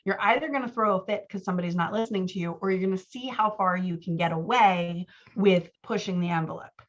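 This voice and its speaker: A woman's voice: lowish voice, fairly quick, lo-fi sound. A woman giving informal one-on-one writing feedback as a coach, explaining her edits and acting out example lines.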